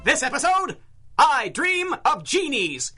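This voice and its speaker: A man's voice: very high voice, very animated, slow pace, over loud music, heavily acted. A man announcing a 1930s-style radio serial in a dramatic, declamatory scripted style.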